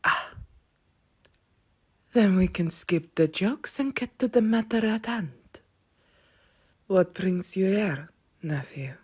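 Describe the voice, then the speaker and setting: A woman playing an ancient, world-weary Viking-born vampire aunt in an audio roleplay, wry and stern, with a husky, breathy voice and archaic wording. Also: medium pitch, lively intonation. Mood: dry, formal. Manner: slow, dry, archaic wording.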